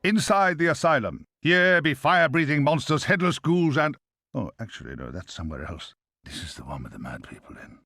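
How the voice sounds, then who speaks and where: A man's voice: a little high, very animated, a little fast, bright, forceful tone, soft background music, heavily acted. A man playing a spooky, theatrical but bumbling guide, narrating a video game cutscene. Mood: ominous. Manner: ominous, comically deflating.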